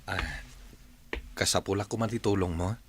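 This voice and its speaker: An actor playing a polite, soft-spoken young office trainee in a radio drama, with a husky voice and a Filipino accent. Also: male voice, low-pitched, normal speed, background noise, natural voice. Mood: shy, curious. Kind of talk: radio drama acting and show opening.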